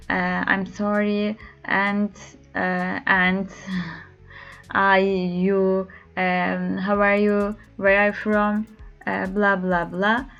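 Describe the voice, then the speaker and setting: Slow, halting woman with Turkish accent. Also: medium pitch, fairly bright tone. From Turkey. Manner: halting, many filler "uh"s. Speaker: language learner. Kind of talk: learning diary vlog. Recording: slightly dull lo-fi sound.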